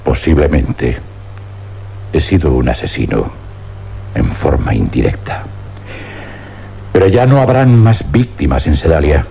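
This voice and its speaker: Deep, grave, weary older scientist. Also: male voice, expressive, gravelly, exaggerated voice. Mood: dark, resigned. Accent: Spanish, from Spain. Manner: grave, deliberate, dramatic pauses. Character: weary older scientist confessing an uncanny experiment. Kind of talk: suspense radio drama acting. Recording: dull, noisy old radio recording with hum.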